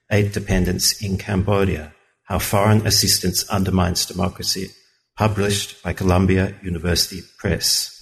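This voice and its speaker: A man hosting an interview podcast, giving prepared introductions and questions in a measured way. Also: sits low, gravelly, rough recording. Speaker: podcast host, research fellow.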